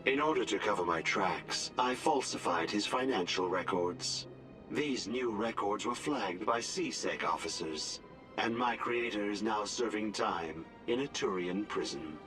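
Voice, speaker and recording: A man voicing a rogue artificial intelligence in a video game, cold, formal, measured and defiant. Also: fairly low pitch, expressive, over music, low-quality recording. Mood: cold, factual.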